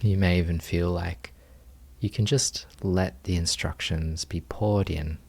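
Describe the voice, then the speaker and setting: A man with a low-pitched voice guides a meditation. He speaks very slowly and gently, leaving pauses between short phrases. The delivery is that of a teacher giving soft, unhurried instructions. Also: fairly even intonation, gravelly.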